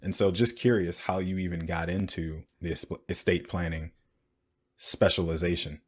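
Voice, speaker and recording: A man hosting a podcast, reading a polished introduction and then chatting with a guest, measured and smooth with small stumbles when he speaks off the cuff. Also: low voice, steady tone. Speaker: podcast host. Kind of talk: scripted intro then conversational interview.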